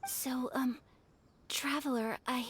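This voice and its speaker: A woman voicing a gentle, earnest young noblewoman in an anime game, speaking politely and with measured care. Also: high-pitched, steady tone, a little hiss or hum. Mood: shy, nervous. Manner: polite, measured, hesitant at tender moments.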